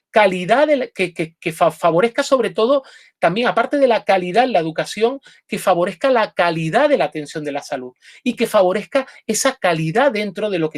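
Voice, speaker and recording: An earnest lecturer talks through a nursing history presentation at a pub event. The voice sits at a middle pitch. Sentences often restart, and the same filler word keeps coming back. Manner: earnest, repeats "directamente" often, frequent restarts. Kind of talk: live public lecture with slides.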